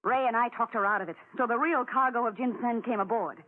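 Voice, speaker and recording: A woman's voice: fairly high pitch, fast, natural voice. An actress playing a pleading, scheming woman in a 1940s radio adventure serial, urgent and melodramatic. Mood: confessing. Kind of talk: 1940s radio drama acting. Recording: tinny, band-limited 1940s radio recording.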